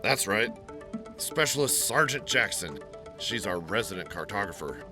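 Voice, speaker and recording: A man's voice: fairly low pitch, sing-song, moderate pace. A man playing a mild, plain-spoken military scout captain being interviewed in an audio drama, polite and unassuming. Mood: respectful. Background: music.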